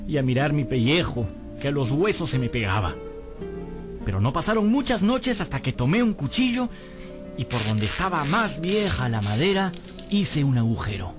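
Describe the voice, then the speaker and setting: A man's voice: mid-range voice, lightly acted. An actor playing a hungry, crafty young servant boy who narrates his misadventures theatrically in a radio drama. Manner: theatrical, expressive storytelling. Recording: studio radio drama with music bed.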